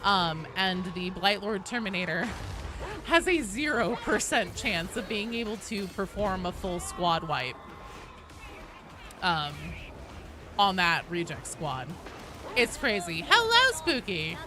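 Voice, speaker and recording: A woman's voice: medium pitch, animated, slow pace, long pauses, music in the background. A woman in her late thirties chatting casually on a game livestream and reading out viewer messages, informal and sweary. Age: late 30s. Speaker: game streamer.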